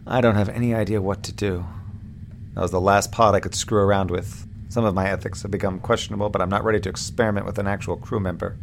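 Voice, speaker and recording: A man's voice: lowish voice, steady tone, fast, creaky. A man playing a weary, bookish moonbase crewman who mutters wryly to himself in an audio drama log. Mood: defeated. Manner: muttering to himself, wry and brooding. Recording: background noise under the voice.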